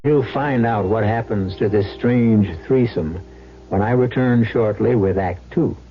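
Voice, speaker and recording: Theatrical male radio-drama host over music. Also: on the low side. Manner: theatrical, deliberate phrasing. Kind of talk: dramatic scripted narration. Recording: muffled old radio, music behind.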